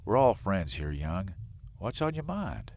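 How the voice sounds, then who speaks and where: An actor playing a plain-spoken, hot-headed but fair sheriff in an audio drama, blunt and informal. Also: male voice, low-pitched, sing-song, measured pace, gravelly, a little mellow, a little hiss or hum, full character voice. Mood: probing.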